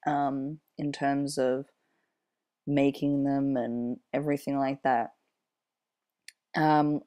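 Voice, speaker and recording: A young man with a deep voice, giving a casual, hesitant spoken intro to his show. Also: a little mellow, clear, resonant tone. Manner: explaining, hesitant with fillers. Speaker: show host.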